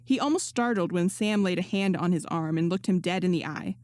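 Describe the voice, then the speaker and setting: A woman's voice: fairly low pitch, fairly quick, a little mellow, dry recording. Woman narrating a story, close and dry. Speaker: podfic reader. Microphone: close XLR microphone.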